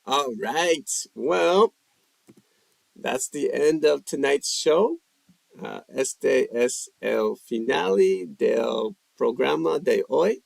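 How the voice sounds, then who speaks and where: A man's voice: mid-range voice, animated, slow, gravelly, no echo. A man hosting a live language-practice stream, reading viewer questions from the chat and answering them casually with lots of fillers. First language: English. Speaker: livestream language host.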